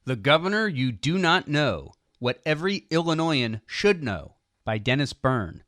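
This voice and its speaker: A man reading scripted political nonfiction as an audiobook narrator, with emphatic, deliberate phrasing. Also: fairly high pitch, big pitch swings, a bit bright, no room sound, clean studio sound.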